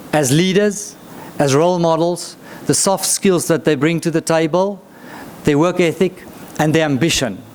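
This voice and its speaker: A man's voice: on the high side, slight background noise. A man giving a proud, emphatic speech about his team at a launch event. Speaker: executive speaker.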